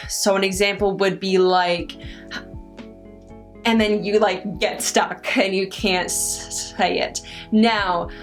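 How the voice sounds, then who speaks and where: A young woman talks through viewer questions at an unhurried, slow pace, often catching on the first sound of a word and restarting her sentences. Her pitch sits in an ordinary middle range for a woman. Soft guitar music plays faintly beneath her voice. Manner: stutters, repeats first sounds of words; halting, many restarts and pauses. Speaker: vlogger answering viewer questions. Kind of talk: casual Q&A chat.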